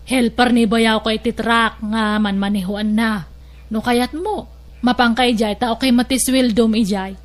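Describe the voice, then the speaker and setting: A woman's voice: fairly high pitch, fairly even intonation, measured pace, natural voice. A woman voicing a firm older sister-in-law in an audio drama, who lectures and asks pointed questions. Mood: advising.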